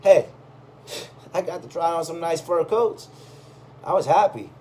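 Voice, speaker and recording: Man storytelling over clear background noise. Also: fairly high pitch, measured pace, a little mellow. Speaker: reader of a personal chronicle. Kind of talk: expressive first-person storytelling read aloud.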